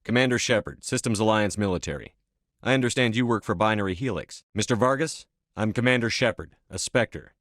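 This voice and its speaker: An actor playing a stern, authoritative military space commander in a video game, with clipped, controlled, matter-of-fact delivery. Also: male voice, fairly low pitch, a bit bright, no echo, clean studio sound, natural voice.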